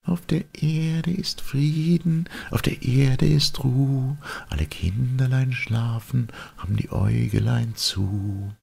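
Man as high, tender little singing stars. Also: fairly even intonation, creaky, dark tone, husky, heavily acted. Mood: tender, hushed. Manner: slow, tender, singsong. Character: tiny stars singing a gentle lullaby.

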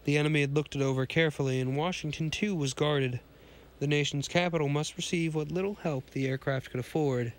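A hoarse man with a cold, hosting a podcast and reading a pulp science fiction story aloud, often stumbling and restarting lines. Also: a little high, fairly even intonation, brisk.

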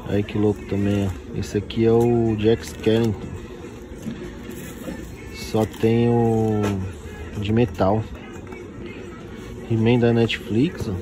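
A man's voice: on the low side, little pitch movement, slow, long pauses, music in the background, poor audio. A young man casually showing off toys while walking through an outdoor flea market, filming on a handheld phone and pointing things out constantly. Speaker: toy collector vlogger. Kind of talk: casual walk-through commentary. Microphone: handheld phone camera.

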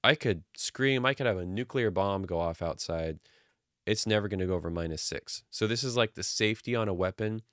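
A man in his thirties casually walking through his microphone filter settings from a small quiet home studio, relaxed and jokey. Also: lowish voice, brisk, no echo. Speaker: streaming audio tutorial host. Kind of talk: casual screen-share tutorial. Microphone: studio dynamic mic on boom arm.

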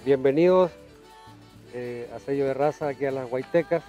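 A Chilean man in his fifties, a horse trainer, telling stories in an outdoor ranch interview, trailing off softly and restarting his phrases. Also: mid-range voice, normal speed, dull audio, soft background music. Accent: Chilean Spanish with Mexican influence. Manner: warm storyteller, many restarts, trails off softly. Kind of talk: storytelling interview. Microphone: lapel.

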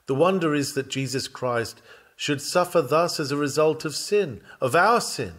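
A man reading an old-fashioned sermon dramatically, with solemn, fervent and measured oratory. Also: a little high, expressive, a bit bright. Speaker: sermon reader.

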